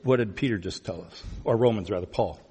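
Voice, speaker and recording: A man's voice: on the low side, fairly quick, warm tone, slightly rough. Man preaching conversationally in an echoing hall. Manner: teasing, repeats phrases and questions back. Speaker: pastor. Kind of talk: conversational sermon with questions to the congregation. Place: large church room before a congregation. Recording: reverberant hall sound.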